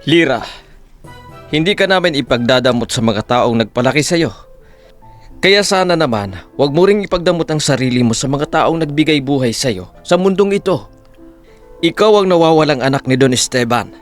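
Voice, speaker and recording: A man's voice: a little high, expressive, moderate pace, soft background music, lightly acted. A man playing an earnest, formal emissary of a rich family in an audio drama, solemn and persuasive. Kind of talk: Tagalog audio drama acting.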